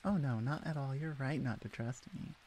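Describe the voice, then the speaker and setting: A woman voicing a smug, teasing orc shaman in an ASMR roleplay, soft-voiced and evasive. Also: rumbling low, normal speed, a little hiss or hum. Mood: sly, dismissive.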